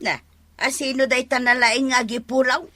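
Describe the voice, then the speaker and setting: An actress playing a loud, exasperated village woman in a comedic radio drama, with drawn-out exclamations. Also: high-pitched, little pitch movement. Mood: questioning.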